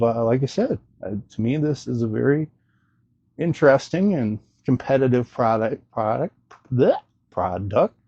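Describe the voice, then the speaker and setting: A man hosts a casual gadget review with a gravelly, mellow voice. He rambles a little, hesitates and plays down his own expertise as he goes. The recording is close and dry, with a slightly dull sound. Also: mid-range voice, no room sound. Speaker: host of a gadget review video. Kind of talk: casual unscripted product demo and review.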